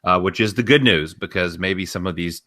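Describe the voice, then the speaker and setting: Forceful, bright-voiced male podcast co-host. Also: low voice, moderate pace. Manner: emphatic, opinionated. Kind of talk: casual opinionated wrestling discussion.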